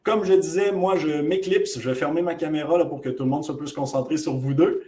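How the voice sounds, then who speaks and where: A man's voice: a little high, brisk, dark tone. A man moderating a webinar, introducing the speaker and going over housekeeping in a casual way, with many fillers, over a video call.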